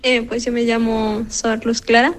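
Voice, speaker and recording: A woman's voice: a little high, flat delivery, measured pace. A young woman from Ecuador, a novice nun, giving casual answers in an interview.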